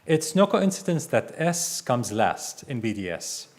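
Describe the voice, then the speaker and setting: A man from Palestine, an invited speaker, answering audience questions at a bookstore talk in a measured way with fillers between phrases. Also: mid-range voice, sing-song. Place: bookstore event room.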